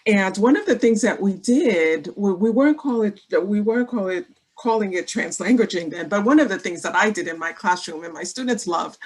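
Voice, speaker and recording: A woman, a native Haitian Creole speaker, giving an effusive, chatty comment over a video call. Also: mid-range voice. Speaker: former third-grade teacher, meeting attendee.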